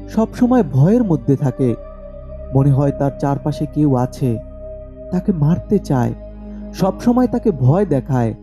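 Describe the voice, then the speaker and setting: A man narrating a scripted horror story as the storyteller. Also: a little high.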